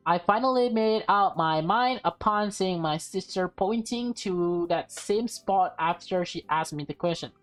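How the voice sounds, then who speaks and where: A woman doing a casual let's-play, reading a visual novel aloud haltingly, with stumbles, self-corrections and offhand commentary. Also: fairly low pitch, soft background music.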